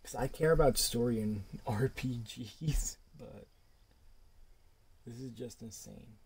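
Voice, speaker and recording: A man's voice: mid-range voice, takes it slowly, vocal fry, darkish tone, slight background noise. A man giving casual, impatient commentary as he plays a video game, muttering and swearing. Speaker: gaming video creator.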